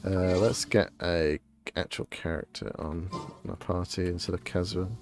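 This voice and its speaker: Low-voiced woman streaming a video game. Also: fairly even intonation, normal speed. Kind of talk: casual live gameplay commentary. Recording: game music behind the voice. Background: video game music.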